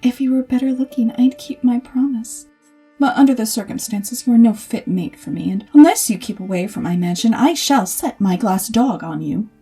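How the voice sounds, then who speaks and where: A haughty young society lady played by an actress in a storybook audio drama. She speaks with airy disdain in a highish, extremely soft-toned, breathy voice. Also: expressive, normal speed, faint music behind. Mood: disdainful. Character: haughty, cold, shallow rich young lady.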